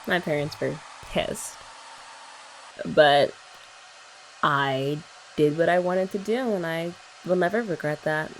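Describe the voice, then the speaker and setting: Soft-spoken young woman, lowish voice. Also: slow, long pauses, a little hiss or hum, poor audio. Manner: soft, chatty and sweet, often laughing softly. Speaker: ASMR roleplay creator. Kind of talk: girlfriend roleplay ASMR.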